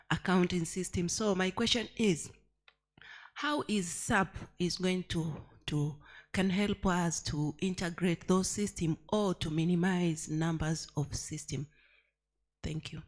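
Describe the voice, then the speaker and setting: A woman from Tanzania with a Tanzanian accent, asking a formal conference question in a halting, point-by-point way. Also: lowish voice. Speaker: accountant asking from the audience. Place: conference hall.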